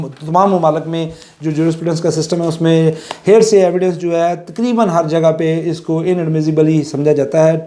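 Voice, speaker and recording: A middle-aged man with a Pakistani accent and a soft, mellow tone, pitched a little high. He lectures informally, explaining step by step in a conversational way and slipping English legal terms into his speech. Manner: explanatory, conversational, illustrating with everyday examples. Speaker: law lecturer.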